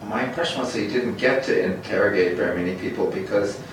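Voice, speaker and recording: A man's voice: lowish voice, fast. A man interviewing an older scholar, asking questions casually with restarts. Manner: conversational, rambling questions with restarts. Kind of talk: oral history interview. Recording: roomy, distant, faint hiss.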